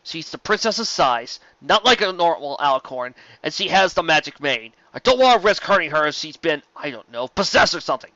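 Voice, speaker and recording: A man's voice: fairly high pitch, big pitch swings, fairly quick, hardly any pauses, bright, forceful tone, no echo, dull audio, pitched above the performer's own voice, heavily acted. A man voicing a stern pony guard lieutenant who gives urgent, clipped orders. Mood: tense, firm.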